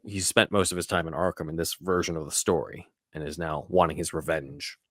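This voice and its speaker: A man with a very low voice hosts a casual film podcast. He explains things scene by scene in a halting, conversational manner. The sound is dry and close. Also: steady tone, fairly bright tone, dry recording. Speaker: podcast host.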